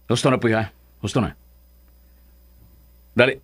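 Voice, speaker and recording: A Filipino actor playing a bossy, hot-tempered schemer in a radio drama, with a rough, bullying, theatrical delivery. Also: male voice, mid-range voice, fairly even intonation, unhurried, fairly bright tone. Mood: bossy, irritated. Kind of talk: Philippine radio drama acting.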